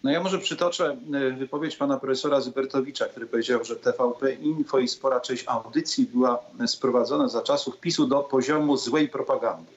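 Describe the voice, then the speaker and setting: A man speaking as a politician in a panel debate, hesitant and measured, with many pauses. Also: mid-range voice, steady tone. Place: indoors on a video call. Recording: dull, muffled video-call audio.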